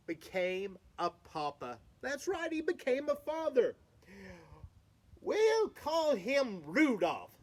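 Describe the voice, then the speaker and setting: A man reading a children's picture book aloud, playfully talking to his young audience. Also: high-pitched.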